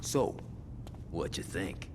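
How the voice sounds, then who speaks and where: An actor playing a cocky, foul-mouthed gang lieutenant in a video game cutscene, talking with profane wisecracks. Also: male voice, takes it slowly, background noise, natural voice. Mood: scheming. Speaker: game character.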